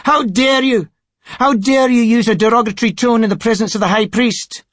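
Audiobook role: fawning, melodramatic cleric, shrill and sneering, raised pitch. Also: male voice, expressive, slow, a bit bright, dry recording, clean studio sound, heavily acted. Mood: outraged. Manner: screeching, sneering, over-dramatic. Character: shrill sneering sycophantic cleric, prone to theatrics. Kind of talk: audiobook character voice.

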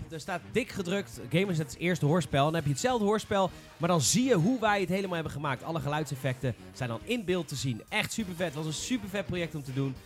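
A man hosting a casual gaming podcast in an informal, enthusiastic manner. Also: high voice. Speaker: podcast host.

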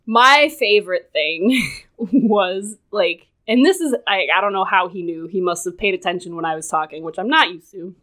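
A woman's voice: medium pitch, moderate pace, fairly bright tone. A woman guest on a casual podcast, telling a personal story in a loose, chatty way, with lots of "like" and frequent laughter. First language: English. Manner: chatty, full of "like", laughs often.

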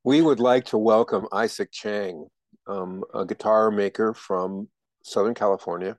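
A man's voice: lowish voice, steady tone, a little slow, no room sound. A man hosting a podcast, welcoming a guest in an effusive way and often repeating words as he talks. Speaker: podcast host. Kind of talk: casual podcast chat.

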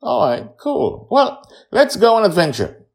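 A man's voice: high-pitched, wildly swooping pitch, unhurried, vocal fry, voice pushed up, full character voice. A man voicing an easygoing dad in a fantasy story, speaking in an upbeat, casual, bouncy manner. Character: easygoing adult dad, leader of dream adventures.

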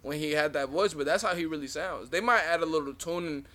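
A man's voice: a little high, quick pace, bright, forceful tone. A young man in his twenties co-hosting a podcast, chatting loosely with profanity and frequent laughter. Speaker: podcast co-host. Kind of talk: casual chat.

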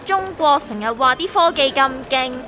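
A woman playing a bright, high-pitched Hong Kong novice investor. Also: lively intonation, moderate pace. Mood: eager. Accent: Hong Kong Cantonese. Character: naive, excitable novice stock investor. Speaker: voice actor in a radio drama. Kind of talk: scripted comedic dialogue. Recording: music under the voice.

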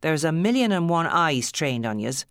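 A woman's voice: sits low, very animated, moderate pace, no room sound, clean studio sound, pitched above the performer's own voice. A woman voicing an outspoken, protective middle-aged Irish woman in an audiobook, scolding and forthright. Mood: urgent, protective. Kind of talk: audiobook character acting.

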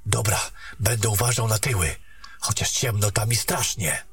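A man playing a young, uneasy and curious warrior companion in a fantasy audio drama, expressive and questioning. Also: fairly low pitch, normal speed, breathy edge, full character voice. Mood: uneasy, alert. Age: young adult.

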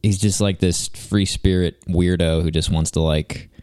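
A man's voice: low-pitched, steady tone, measured pace, a little mellow, no echo, studio quality. A man in casual, joking, rambling chat, full of fillers. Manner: laid-back, joking, rambling with many fillers.

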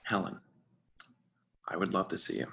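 A man's voice: low voice, animated, moderate pace, creaky, sharp tone, exaggerated voice. An actor playing an overworked, polite and hesitant professional man in a dramatic screenplay reading. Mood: awkward, polite. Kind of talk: dramatic table read.

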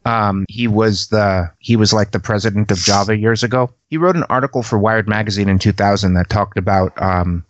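A man speaking in a measured, explanatory way as a co-host, on a slightly dull, lo-fi recording. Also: on the low side, a little hiss or hum. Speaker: podcast co-host. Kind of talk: casual discussion, some reading aloud. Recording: slightly dull, lo-fi microphone sound.

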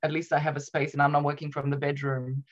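A woman's voice: low-pitched, little pitch movement, quick pace, highs cut off. A woman in her thirties with a Romanian accent blended with Australian, talking reflectively in a casual interview, with many fillers, restarts and small laughs. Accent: Romanian mixed with Australian. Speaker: guest. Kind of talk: casual video-call interview.

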